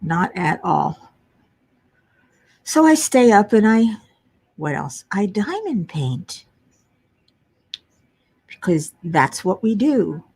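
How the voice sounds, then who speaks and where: Host, woman, middle-aged, naturally low voice, unhurried, sleep-deprived, chatty and rambling. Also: sing-song, vocal fry, a little mellow, slightly rough. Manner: chatty, muttering while searching for pieces. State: tired from lack of sleep. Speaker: crafting livestream host. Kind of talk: casual live chat while diamond painting.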